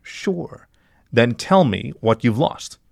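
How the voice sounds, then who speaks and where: A man's voice: mid-range voice, lively intonation, slow, gravelly, a little mellow, dry recording, clean studio sound, heavily acted. A man voicing a stern private detective in a story, questioning in a firm, controlled way. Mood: stern, probing.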